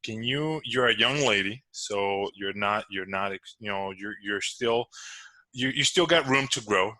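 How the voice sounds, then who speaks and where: A man's voice: on the low side, unhurried, bright, forceful tone, dry recording, low-quality recording. A man hosting an interview podcast, talking in a loose conversational way with fillers and restarts. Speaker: podcast host.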